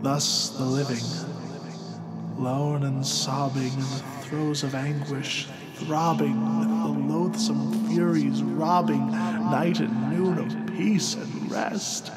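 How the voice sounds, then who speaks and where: A man gives a dramatic, solemn reading of verse at an unhurried pace. His voice sits a little high for a man, and his delivery is measured and theatrical. Music plays clearly behind him. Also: steady tone. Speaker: narrator. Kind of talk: dramatic poetry recitation. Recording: loud music under the voice.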